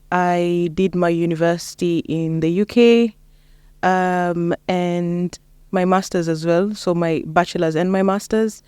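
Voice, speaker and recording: A woman's voice: fairly low pitch, dark tone, smooth, dry recording, clean studio sound. A young woman with a Kenyan accent, chatting casually as an interview guest. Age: young adult. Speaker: interview guest, lawyer and mentor.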